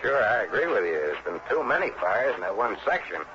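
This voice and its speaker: An actor playing an exasperated county fire chief in an early 1960s radio drama, folksy, opinionated and emphatic. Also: male voice, on the low side, big pitch swings, brisk. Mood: resigned. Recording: dull, faintly noisy vintage radio recording.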